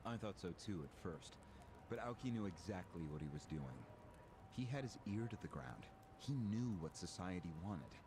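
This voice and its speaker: Deep-voiced, smug political schemer. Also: male voice, big pitch swings, noisy background. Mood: cynical, confident. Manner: measured, smug, explanatory. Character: calculating, cynical male political operative recounting a grand scheme. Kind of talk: English video game voice acting. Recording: game audio with music behind. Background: game music.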